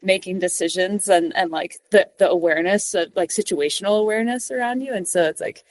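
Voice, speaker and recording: A woman's voice: on the low side, a little fast, a little mellow, no room sound. A young woman from New York City answering interview questions from her office cubicle, thoughtful and full of "like" and restarts. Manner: thoughtful, many "like" fillers and restarts. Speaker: podcast guest, mountain guide.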